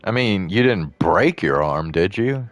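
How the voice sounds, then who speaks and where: A man's voice: low voice, animated, a little slow. A man streaming a game and chatting casually, laid-back and slangy, chuckling often, on a desk mic. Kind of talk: casual live-stream reacting. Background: game music.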